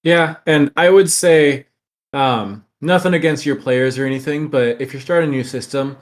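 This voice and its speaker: A man's voice: a little high, moderate pace. A man hosting a casual podcast discussion, giving advice in a frank, practical way. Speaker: podcast host.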